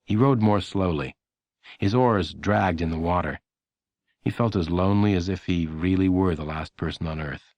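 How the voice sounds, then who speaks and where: A man narrating a children's audiobook in an expressive, measured storytelling manner. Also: deep voice, no echo.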